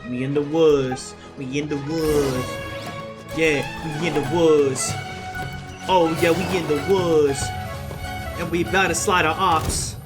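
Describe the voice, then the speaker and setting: A woman's voice: low-pitched, fairly even intonation, slow, rough recording. A woman doing casual, slangy gameplay reaction commentary, brash, profane and exclamatory. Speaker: gameplay streamer. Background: game music.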